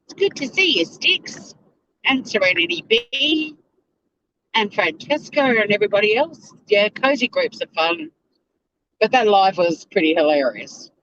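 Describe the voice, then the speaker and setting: An Australian man hosting a casual livestream, chatty and animated, swearing freely, with a slightly whiny voice. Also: sits high, a little slow, dull audio, slight background noise. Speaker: livestream host. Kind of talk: casual livestream chat to viewers.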